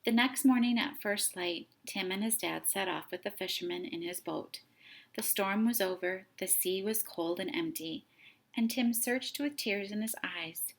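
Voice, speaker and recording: A woman reading a children's picture book aloud, with dramatic, deliberate phrasing as a storytime host. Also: mid-range voice. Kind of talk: expressive children's story narration.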